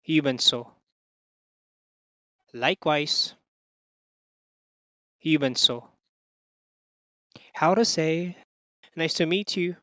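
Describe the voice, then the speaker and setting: A language teacher reading a scripted lesson of short phrases and translations, deliberately repeating each one for learners. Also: mid-range voice, fairly bright tone, no echo, dull audio.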